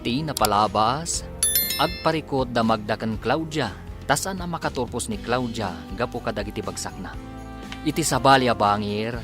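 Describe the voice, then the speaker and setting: Male drama announcer, Ilocano accent, mid-pitched, sweeping intonation over clear background music. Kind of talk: dramatic scripted radio narration. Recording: music under the voice.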